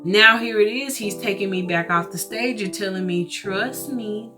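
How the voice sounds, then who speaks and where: A woman with a loud, carrying voice telling a personal faith story in casual, earnest and emphatic talk with rhetorical asides. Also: mid-range voice, normal speed. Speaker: churchgoer sharing a personal testimony. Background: soft guitar music.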